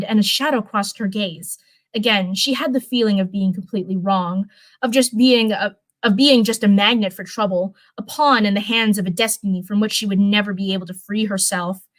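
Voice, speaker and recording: Female streamer, mid-range voice, informal read-aloud and chatty delivery, tends to ramble and repeat. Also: mid-range voice. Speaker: streamer reading viewer-submitted fan fiction. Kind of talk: casual livestream chat and read-aloud storytelling.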